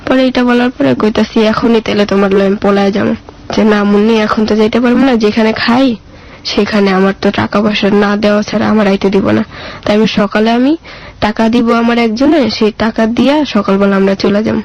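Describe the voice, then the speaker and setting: A woman with a colloquial Bangladeshi accent recounts personal experience in casual, plain speech, quoting conversations as she goes. Her voice stays quite monotone and has a marked creak. The recording sounds muffled and low in fidelity. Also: brisk, no echo. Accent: Bangladeshi colloquial Bengali. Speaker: interview guest telling her life story.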